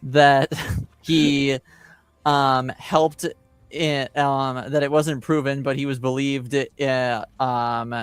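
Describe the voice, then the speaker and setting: Slow, halting male game master. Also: medium pitch, level tone, clean tone, no room sound, soft background music. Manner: halting, searching for words. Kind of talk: improvised narration of a tabletop roleplaying game.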